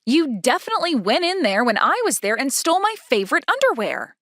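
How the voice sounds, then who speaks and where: A woman's voice: high-pitched, very animated, a little fast, a bit bright, slight background noise. A woman playing a haughty, blunt teenage schoolgirl in a manga dub, speaking in a demanding, self-assured way. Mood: accusing. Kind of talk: manga dub character dialogue.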